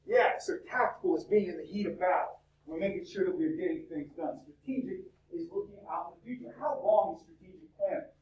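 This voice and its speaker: A male instructor lecturing a university class in a lively, chatty way, peppering students with questions, his voice cracking. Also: high-pitched, fairly quick, hardly any pauses, dull audio. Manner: talkative, upbeat, fires questions at the class. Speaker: business instructor. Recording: echoey classroom from far off.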